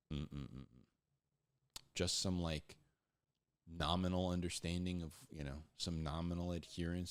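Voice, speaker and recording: A man giving casual, conversational Bible teaching in slangy, informal speech, repeating words for emphasis and acting out little dialogues. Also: low-pitched. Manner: acts out imagined back-and-forth dialogues.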